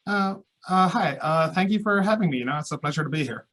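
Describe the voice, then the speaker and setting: A man's voice: on the high side, expressive, fast talker, fairly bright tone. A man from Pakistan, a research scientist, answering interview questions in a hesitant way with many uhs and restarts.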